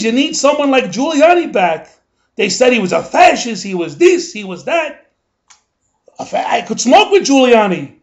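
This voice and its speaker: A man's voice: very high-pitched, muffled recording, heavily acted. A man playing a grumbling older male New Yorker, ranting in a rambling, indignant comic monologue full of asides.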